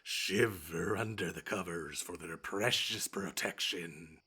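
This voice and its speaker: Man acting a deep-voiced, haughty bedside monster. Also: slow, pushed and bright, husky, full character voice. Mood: boastful, taunting. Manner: theatrical, taunting, haughty. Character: smug, menacing, easily flustered monster from under the bed. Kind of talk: scripted one-sided audio roleplay.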